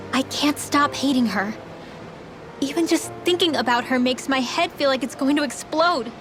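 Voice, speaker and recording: Game role: troubled young girl, high register, earnest and guilt-ridden, music underneath. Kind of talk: English video game voice acting. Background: background music.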